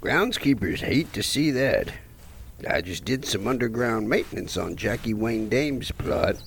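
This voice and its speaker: An actor playing a chatty, folksy, wry gravedigger who narrates an audio play to the listener. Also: male voice, animated, a little slow, slight background noise. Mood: wry, reminiscing. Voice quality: low, gravelly. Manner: chatty, rambling, musing. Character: talkative folksy small-town male gravedigger narrator, wry, unhurried.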